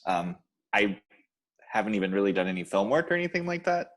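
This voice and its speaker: Man, stage actor, lower register, animated melody, casual panel talk. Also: a little fast. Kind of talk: casual chat.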